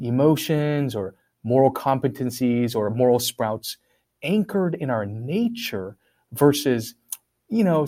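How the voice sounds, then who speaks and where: A man's voice: fairly high pitch, warm tone. A man whose first language is Korean, raised in Korea, talking through ideas in a casual academic discussion, with frequent fillers and restarts. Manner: thoughtful, many fillers and restarts.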